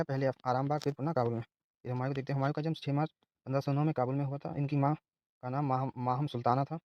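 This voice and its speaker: Very fast-talking man teaching. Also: mid-range voice, darkish tone, dull audio. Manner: rattles off terse lists of facts with brief asides. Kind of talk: exam revision lesson, reading out facts.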